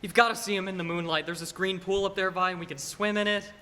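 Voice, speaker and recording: Young man acting a flustered, high-voiced small-town fellow. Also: steady tone, sharp tone. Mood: eager, dreamy. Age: teenager or young adult. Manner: stammering, halting. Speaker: high school student actor. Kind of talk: filmed stage radio play acting. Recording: echoey hall with background hiss.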